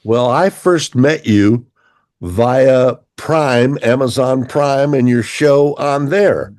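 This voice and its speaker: A man's voice: mid-range voice, slow pace, a little mellow, no echo. Upbeat male show host, dry sound. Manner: upbeat, with frequent "uh" fillers. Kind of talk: interview show hosting.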